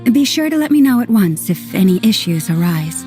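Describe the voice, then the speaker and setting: A woman's voice: medium pitch, very animated, normal speed, dark tone, soft background music, lightly acted. A woman playing a composed, dutiful organiser with authority in a video game. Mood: composed, earnest.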